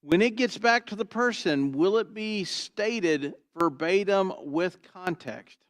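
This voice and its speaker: Booming preacher, dry close sound. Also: male voice, animated, slow pace, no room sound. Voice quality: booming trained voice. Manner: emphatic, swinging from loud to quiet, repeats "Why?". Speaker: pastor. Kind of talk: expository sermon. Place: church sanctuary.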